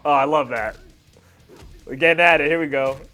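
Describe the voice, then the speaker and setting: A man's voice: fairly high pitch, normal speed, sharp tone. A man in his thirties giving casual reaction commentary as a video host, talking like an enthusiastic fan with slangy asides.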